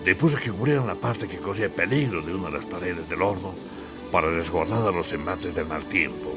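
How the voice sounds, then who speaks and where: A man's voice: low-pitched, a little fast. A man from Bolivia narrating his own literary audiobook in a dramatic, theatrical storytelling style. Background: music.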